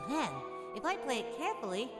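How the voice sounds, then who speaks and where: Bookish, exasperated boy of eleven played by a woman, anime parody; dry, animated, music underneath. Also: high-pitched, a little fast. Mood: thoughtful. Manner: dry, resigned, indignant. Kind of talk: parody anime dub acting.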